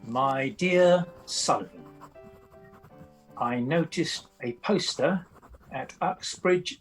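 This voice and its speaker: A man's voice: mid-range voice, close to an everyday voice, poor audio. A British man acting a self-assured, wry Victorian comic opera writer in a radio play, with a dry, formal manner. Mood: measured, pleased.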